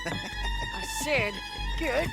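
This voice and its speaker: An actress playing a tough, bossy bounty hunter in a western game, commanding and brash. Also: female voice, high-pitched, lively intonation, extremely slow, vocal fry, over loud music, heavily acted. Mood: aggressive.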